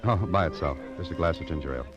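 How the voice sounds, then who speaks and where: An actor playing a world-weary, wry American private detective in a deadpan radio noir style. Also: male voice, a bass voice, racing, music in the background. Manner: dry, deadpan, wisecracking. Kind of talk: 1940s-50s radio noir narration and dialogue. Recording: muffled vintage radio recording.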